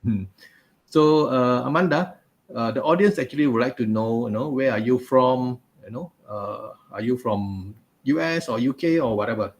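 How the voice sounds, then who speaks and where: A man's voice: mid-range voice, normal speed. A man hosting a live webinar interview over a video call, chatty, with many fillers and asides. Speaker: host. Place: home office, video call. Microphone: video call webcam.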